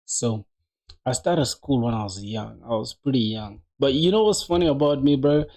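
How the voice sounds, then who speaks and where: A man in his twenties with a soft-edged, mellow voice and an average pitch. He talks through code informally, like a streamer answering chat, using plenty of slang and speaking to viewers like close buddies. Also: animated, normal speed. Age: 20s. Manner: slangy, addresses viewers as bro and dog. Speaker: coding livestreamer. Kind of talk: casual live-stream chat while coding.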